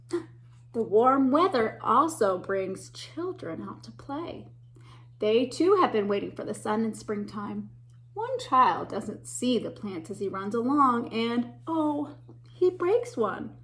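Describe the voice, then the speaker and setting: A woman teacher reading a picture book aloud to children, pausing to point out the pictures and dropping to a whisper or a gasp at tense moments. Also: fairly high pitch. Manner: animated, gasps and whispers for suspense, pauses to point out pictures. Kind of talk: expressive read-aloud storytime.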